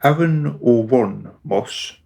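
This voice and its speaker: A man serves as narrator of a radio drama. His mid-pitched voice has a marked creak. He reads the script in a measured storyteller's manner. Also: animated, darkish tone.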